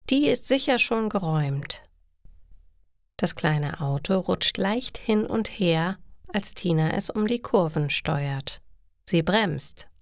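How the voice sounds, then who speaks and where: A woman's voice: fairly low pitch, no room sound, studio quality. A woman reading a scripted story for language learners in careful, separated phrases.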